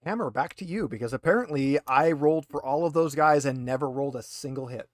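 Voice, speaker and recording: A man's voice: on the high side, fast talker, no echo, clean studio sound. A man casually running an online tabletop roleplaying game as game master, with a teasing, joking manner.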